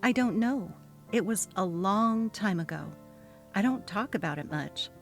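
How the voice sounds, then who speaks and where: She is a mild, courteous office employee played by a woman narrator, guarded around a bullying boss. The delivery is plain-spoken and unhurried in a dramatic story reading, over music. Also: mid-range voice, lively intonation.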